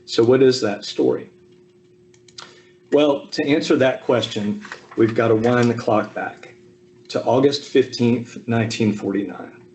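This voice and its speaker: A man in his fifties from the American South, giving a relaxed personal talk over a video call with frequent ums and asides. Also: mid-range voice, measured pace, slight background noise, rough recording. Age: 50s. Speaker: speaker at a Zoom talk. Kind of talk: personal family history talk.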